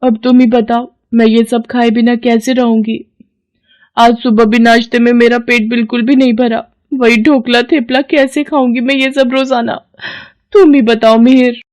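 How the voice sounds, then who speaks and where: Woman acting young daughter-in-law, plaintive, raised pitch. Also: flat delivery, moderate pace, a little mellow, lightly acted. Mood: complaining.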